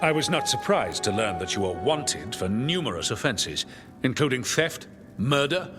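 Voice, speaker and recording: A man's voice: mid-range voice, very animated, normal speed, lightly acted. Man acting a pompous fantasy commander in an echoing hall. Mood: stern, accusing. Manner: formal, pompous, dismissive. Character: stern, haughty military commander of a warden order. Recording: echoing hall under loud game music.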